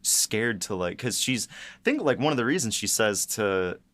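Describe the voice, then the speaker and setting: Excitable woman with very swooping pitch. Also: moderate pace. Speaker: podcast co-host and writer. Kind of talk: casual podcast chat.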